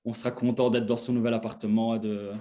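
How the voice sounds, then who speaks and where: A man's voice: mid-range voice, little pitch movement, fast talker, warm tone. A man in his twenties from Belgium, casually telling his own story as an interview guest. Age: 20s.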